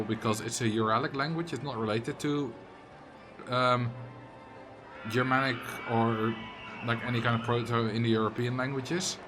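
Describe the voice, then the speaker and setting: A man with a Dutch accent, a streamer chatting casually and answering chat messages while he plays a game. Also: medium pitch. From Netherlands.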